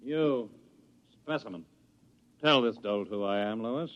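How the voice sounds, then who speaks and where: An actor playing a pompous, suspicious government director in 1950s radio drama, imperious and full of disdain. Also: male voice, fairly low pitch, slow pace, dry recording. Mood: contemptuous. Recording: muffled old radio recording.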